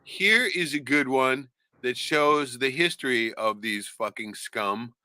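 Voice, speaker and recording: Profane bright-voiced man in his fifties. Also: medium pitch, slow, no room sound. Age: 50s. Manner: profane, loud, laughing. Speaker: livestream co-host. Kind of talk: casual livestream chat.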